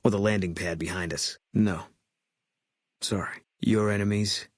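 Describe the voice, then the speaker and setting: A haunted ex-soldier sniper from a video game, speaking in a deep, husky voice. He is terse and stoic, with a grim fatalism in his delivery. The sound is dry and close. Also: steady tone, measured pace, no echo. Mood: grim, guarded. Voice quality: low, husky. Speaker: video game companion character.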